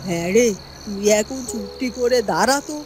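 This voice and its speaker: A woman's voice: fairly high pitch, normal speed, lightly acted. A woman voicing a greedy, scheming middle-aged stepmother in a cartoon, bossy and exclaiming, on a noisy track. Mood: scheming, eager. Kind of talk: fairy tale cartoon acting.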